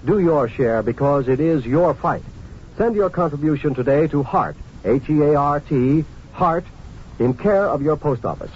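A man's voice: on the high side, lively intonation, normal speed, a little mellow. An American man announcing in a scripted 1950s radio style, as in a charity appeal. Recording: muffled old radio broadcast, faint noise.

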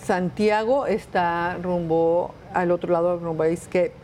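A woman from Mexico speaks in a low, unhurried voice, working out her answers aloud with small fillers. She is a guest in a casual interview, and faint room noise sits under her voice. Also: fairly even intonation, a little mellow. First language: Spanish. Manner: thinks aloud with pauses and fillers. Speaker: guest, tour company owner.

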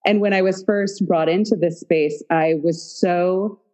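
A woman's voice: on the low side, fairly even intonation, a little slow, dark tone. A woman artist and painting teacher speaking as a guest over a video call from her home studio, earnest and effusive. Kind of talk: casual interview chat. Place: home studio in a converted dance studio.

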